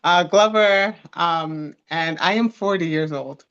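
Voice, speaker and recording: A woman in her forties from Canada, a guest telling a story in a casual chat, giggly and animated, often restarting her words. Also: fairly low pitch, slow pace, smooth, muffled recording. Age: 40s.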